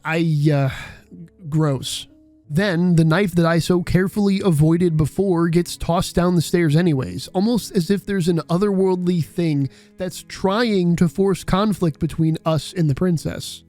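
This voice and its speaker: A man's voice: sits high, unhurried, mellow, soft background music. A man reading scripted video essay narration in a wry, casual way.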